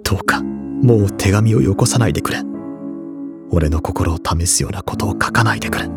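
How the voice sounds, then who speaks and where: An actor playing a tormented young man in an audio drama, grave and measured as he confesses a guilty love. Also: sits low, loud music behind the voice. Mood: anguished, accusing.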